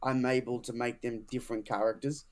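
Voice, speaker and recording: A man co-hosting a podcast in casual chat, excitable, swearing freely and tripping over restarts. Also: medium pitch, fairly quick. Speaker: podcast co-host, hobby voice actor.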